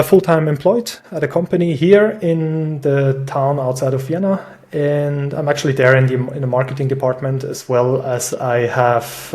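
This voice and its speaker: A man's voice: medium pitch, darkish tone. A young Swiss man, a podcast guest, talking in a chatty, self-deprecating way and laughing often over a video call. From Switzerland. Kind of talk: casual conversational interview.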